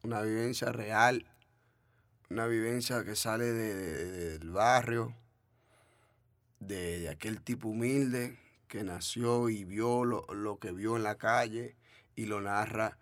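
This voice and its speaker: A man's voice: on the low side, slow, no room sound, studio quality. A man from the Dominican Republic with a Dominican Spanish accent, a trap artist talking casually in an interview, often repeating himself and restarting.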